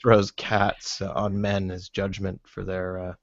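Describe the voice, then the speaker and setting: Lower-voiced man, muffled call audio. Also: monotone, takes it slowly, vocal fry, dry recording. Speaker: participant in an online hangout. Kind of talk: casual group chat. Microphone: online voice call. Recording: dull lo-fi internet call audio.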